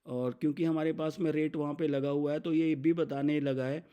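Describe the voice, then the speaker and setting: A man with an Indian accent explains software step by step as an instructor, mixing English business terms into his speech. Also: fairly high pitch, steady tone, normal speed. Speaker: software demonstrator.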